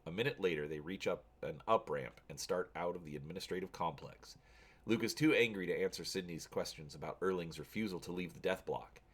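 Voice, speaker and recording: A man's voice: sits low, fairly quick, husky. A man hosting a channel, talking casually to viewers and reading an adventure story aloud with drama.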